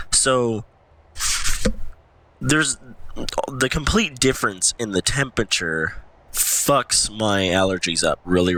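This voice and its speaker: A man's voice: on the low side, sharp tone, poor audio. A man with a stuffy nose from allergies, casually commentating his gameplay as a channel host, rambling and thinking aloud.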